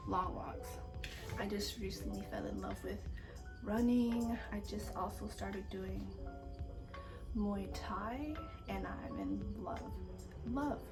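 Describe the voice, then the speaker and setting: A woman's voice: medium pitch, rough recording. A woman in her twenties from California, chatting casually to camera in a sit-down Q&A as a vlogger, a little self-conscious. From San Diego, California. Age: 20s. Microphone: phone camera.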